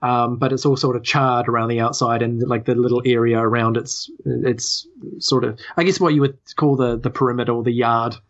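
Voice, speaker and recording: New Zealand game master, slightly dull audio. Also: male voice, mid-range voice, fairly even intonation, a little fast, hardly any pauses. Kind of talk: improvised tabletop game narration.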